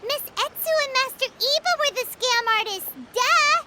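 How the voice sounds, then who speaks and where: A woman's voice: extremely high pitch, normal speed, pushed and bright, a little hiss or hum, full character voice. A woman voicing a tiny, bratty female companion in a game cutscene, snarky and teasing.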